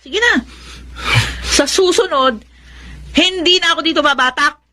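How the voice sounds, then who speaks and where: Snappy, high-pitched resentful wife. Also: female voice, unhurried, sharp tone. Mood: defiant, angry. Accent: Filipino. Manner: whiny, snappy, defiant. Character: brash, irritable drug-using wife, defiant and resentful. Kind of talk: Filipino radio drama acting.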